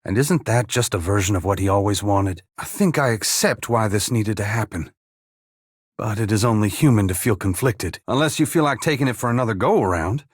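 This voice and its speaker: An actor playing a humble, weary dead farmer-saint in a fantasy video game, plain-spoken yet solemn, with a gravelly, husky voice. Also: male voice, on the low side, brisk, dry recording, clean studio sound. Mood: weary, reflective. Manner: plain-spoken rustic phrasing mixed with solemn archaic oratory. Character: humble dead farmer-saint, once god-touched, wry, earnest and weary. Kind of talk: dramatic fantasy game dialogue.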